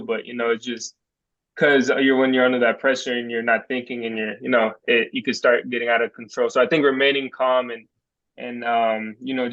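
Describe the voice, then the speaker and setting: A man's voice: medium pitch, fairly bright tone. A young man hosting a panel, agreeable and full of fillers like "like" and "you know". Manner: agreeable, backchannels constantly, loose filler-heavy phrasing. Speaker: host. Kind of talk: casual panel talk. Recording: slightly dull lo-fi sound.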